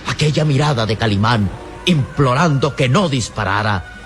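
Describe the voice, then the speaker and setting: A man's voice: mid-range voice, lively intonation, normal speed, a bit bright. A man narrating an old radio serial from a script, in a solemn, theatrical, declamatory manner. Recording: radio serial with prominent background music.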